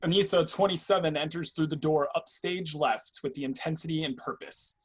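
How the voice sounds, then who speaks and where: A man narrates a play's stage directions from a script. His voice sits a little high and is noticeably husky, heard over a video call. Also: brisk.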